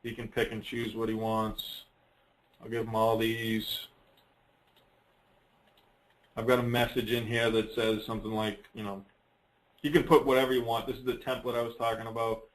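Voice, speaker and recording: A man giving a casual, unscripted software walkthrough on a conference call, rambling a little and thinking aloud as he presents. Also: medium pitch, little pitch movement, fairly quick, low-quality recording.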